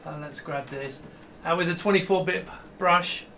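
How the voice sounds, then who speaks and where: A middle-aged man with a high, bright voice talks casually through a hands-on demo. He is enthusiastic and rambles, often dropping a sentence halfway to start another. There is noticeable background noise in the recording. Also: normal speed. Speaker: host demonstrating a vintage computer. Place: small indoor home room with computer gear.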